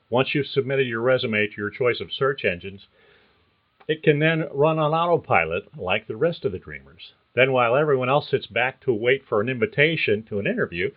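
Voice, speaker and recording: Older man with a tinny phone-line sound. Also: medium pitch. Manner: measured, earnest, reads like prepared narration. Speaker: podcast host and career coach. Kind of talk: scripted podcast monologue.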